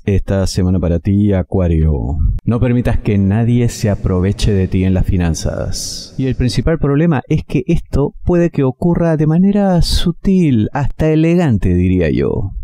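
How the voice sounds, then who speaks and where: A middle-aged man reading a weekly tarot horoscope into a headset mic, in measured, emphatic runs of phrases. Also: on the low side, mellow, no echo. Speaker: tarot reader. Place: small studio with green screen.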